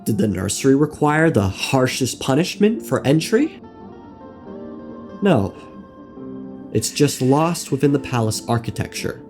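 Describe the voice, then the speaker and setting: A man narrating a scripted game lore video essay in a wry, theatrical manner with dramatic pauses. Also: medium pitch, very animated, darkish tone. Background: music.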